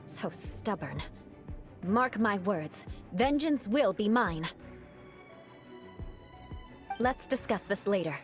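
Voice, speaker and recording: A woman's voice: medium pitch, animated, slow pace, faint music behind. An actress playing a proud young noblewoman knight who speaks with cool, dryly cutting composure in a video game. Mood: weary.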